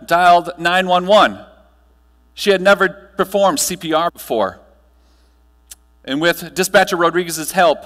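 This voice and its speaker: A man's voice: on the high side, a bit bright. Man giving keynote in echoey hall. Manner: dramatic pauses and emphatic storytelling delivery. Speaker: keynote speaker. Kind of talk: motivational conference speech to a live audience. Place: large room, live audience, amplified. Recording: echoey hall, long reverb.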